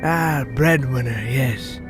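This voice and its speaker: A man voicing a nervous, eccentric older British husband, fussy, halting and chatty, in a comic audio story. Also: medium pitch, over music, lightly acted. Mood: cheerful rambling.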